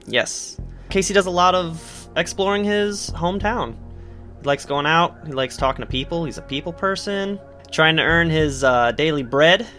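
A man's voice: a little high, animated, normal speed, bright, forceful tone. A man chatting casually as a player in a tabletop role-playing game. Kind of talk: casual chat about his game character. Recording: music under the voice. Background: music.

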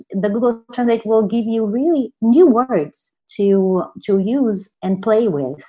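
A woman's voice: medium pitch, mellow, rough recording. Woman podcast co-host, native Portuguese speaker, earnest and thinking aloud. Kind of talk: casual discussion.

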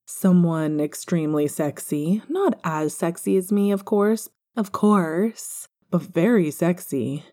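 A woman's voice: fairly low pitch, takes it slowly, few pauses, mellow. A woman voicing a cocky yet nervous, lovestruck man in an audiobook. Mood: nervous excitement. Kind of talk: audiobook fan fiction character dialogue.

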